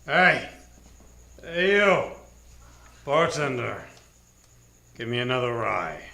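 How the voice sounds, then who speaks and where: An actor playing a surly, demanding drunk barfly in a 1940s radio drama. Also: male voice, mid-range voice, big pitch swings, slow, gravelly, bright, forceful tone, heavily acted. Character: surly drunk male barfly with a mean grin. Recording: live theater stage, music underneath.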